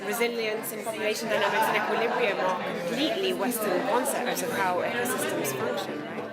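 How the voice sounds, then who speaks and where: Chatty woman amid a loud crowd. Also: medium pitch, a little fast. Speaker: conference participant. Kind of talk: casual conversation. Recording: crowd in a large hall.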